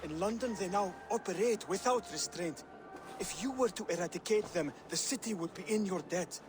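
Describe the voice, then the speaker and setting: Over clear background noise comes a man acting as an earnest, courteous assassin scholar from a video game. His voice sits fairly high for a man. He phrases everything formally and gravely, like a devout teacher of his order. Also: lightly acted. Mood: courteous, purposeful. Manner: formal, measured, solemn phrasing. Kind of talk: scripted dramatic game dialogue.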